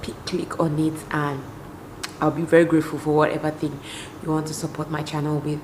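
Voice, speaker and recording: A woman talks to her vlog viewers in a hoarse, worn-out voice, relaxed and chatty. Her voice sits a little low, and a clear hum of background noise runs under the recording. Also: a little fast, rough recording. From Cameroon. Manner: tired, talking to viewers as "guys". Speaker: lifestyle vlogger. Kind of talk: casual vlog chat.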